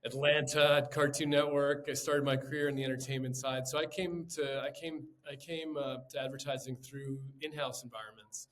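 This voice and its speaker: Flat-voiced man talking about his career. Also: medium pitch, normal speed, hardly any pauses. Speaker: podcast guest, creative executive. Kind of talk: casual interview talk.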